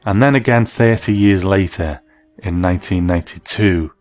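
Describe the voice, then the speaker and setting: A man's voice: low voice, moderate pace, a little mellow. A man presenting a scripted, explanatory documentary narration as host. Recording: voice-over with faint music behind. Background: faint music.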